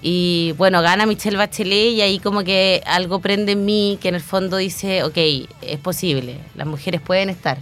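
A woman in her thirties with a Chilean accent, a guest talking through her story in a relaxed interview, pausing often with fillers. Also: mid-range voice. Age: 30s. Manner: reflective, frequent hesitation fillers. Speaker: guest, newly elected council member. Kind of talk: casual interview conversation.

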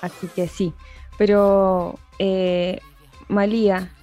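Woman, Chilean accent, interview host, casual chatty manner, mid pitch, faint music behind. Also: little pitch movement. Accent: Chilean Spanish. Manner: chatty, warm slang, quick follow-up questions.

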